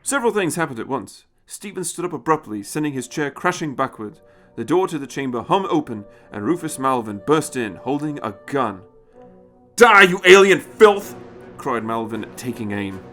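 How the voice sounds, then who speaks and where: A man narrates a story in a dramatic, scripted style. His pitch is middling but moves with plenty of animation. Soft music plays underneath his reading. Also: animated, normal speed, hardly any pauses.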